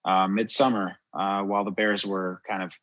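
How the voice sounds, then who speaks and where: A man's voice: deep voice, level tone, moderate pace, a bit bright. A man from Miami, born in France, chatting informally and reflectively as an interview guest. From born in France, grew up in Miami, USA. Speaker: podcast guest, wildlife photographer and guide. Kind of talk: casual interview chat.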